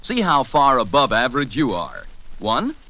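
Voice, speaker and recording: A man with an American accent doing scripted 1940s radio announcing and sponsor pitches. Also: wildly swooping pitch, moderate pace, pushed and bright, dull audio.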